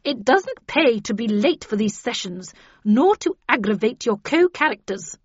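Stern Victorian chairwoman, a woman narrator acting, high voice, admonishing authority, storybook reading. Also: lively intonation, normal speed, slightly rough, no room sound, dull audio. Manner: stern, schoolmistressy. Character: stern, commanding Victorian lady chairing an unruly group.

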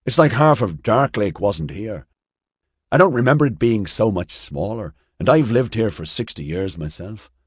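A man's voice: on the low side, lively intonation, normal speed, slightly rough, no room sound, studio quality, voice pushed up. Man playing a grumbling older male investigator. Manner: complaining.

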